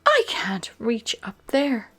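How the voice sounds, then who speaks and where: A woman voicing a small, excited male puppy in a storybook reading, with high, eager exclamations. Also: slow, slightly rough, heavily acted.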